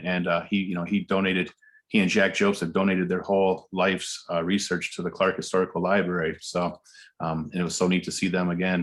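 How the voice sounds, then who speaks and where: A man offers informal remarks as a participant in an online event. His voice is low with little rise and fall, and his manner is appreciative. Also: a bit bright. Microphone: video call.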